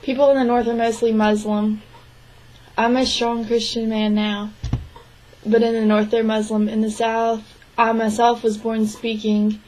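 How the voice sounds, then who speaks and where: A woman gives a spoken interpretation of a signed interview. Her voice sits a little high and stays flat and level. She talks in an even, measured way and often breaks off and restarts. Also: low-quality recording. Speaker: sign language interpreter voicing a deaf man's answers.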